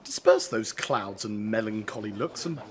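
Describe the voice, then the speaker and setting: An actor in a classical verse radio drama playing an ardent young prince, gallant and formal. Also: male voice, on the low side, lively intonation, normal speed, a bit bright. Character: ardent, courtly young prince wooing his betrothed.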